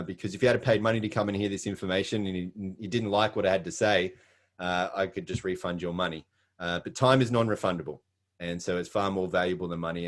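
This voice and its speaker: Earnest Australian man, lowish voice. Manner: earnest, frequent fillers. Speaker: presenter. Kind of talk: scripted sales presentation.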